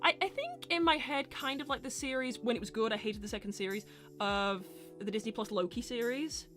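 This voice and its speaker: A woman's voice: fairly high pitch, a little fast, a bit bright, faint music behind. A woman hosting a casual livestream Q&A, reading out and answering viewer messages in an informal, chatty way. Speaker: host of a fan series livestream Q&A.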